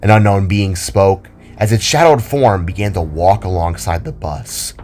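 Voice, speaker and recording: A man's voice: low voice, normal speed, darkish tone, a little hiss or hum. A man giving dramatic scripted narration as the storyteller of an audio drama. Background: background music.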